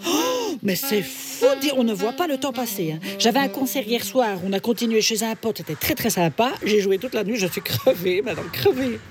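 A woman's voice: on the high side, moderate pace, hardly any pauses, over music. A woman voicing a chatty, tired female saxophone with a Belgian French accent in a children's radio drama. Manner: chatty, exclamatory, theatrical, lively. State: tired after a night of playing.

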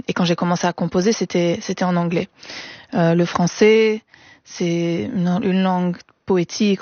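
A woman's voice: fairly low pitch, a little mellow, dry recording. A woman singer in a radio interview, talking hesitantly with many restarts and slipping English words into her speech. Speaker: singer and guest. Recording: dull, dry studio sound.

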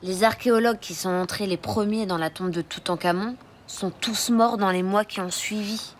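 A woman's voice: mid-range voice, moderate pace, a little hiss or hum, natural voice. An actress playing a sullen, blunt Egyptian boy in an audio drama. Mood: grave.

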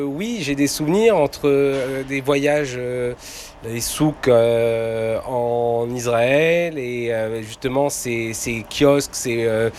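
A man in his forties hesitates and restarts as he talks on a city street. His pitch is mid, and faint traffic noise hums behind him. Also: a little mellow. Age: 40s. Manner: hesitant, many fillers and restarts. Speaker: passer-by.

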